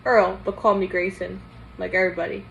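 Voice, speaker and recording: A woman reads the part of a gruff, worn-out old man in a storybook. He talks in folksy, ungrammatical phrases, with noise in the background. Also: medium pitch, level tone, brisk, muffled recording, close to an everyday voice. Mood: weary, gruff. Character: weary, gruff old man, former ballplayer.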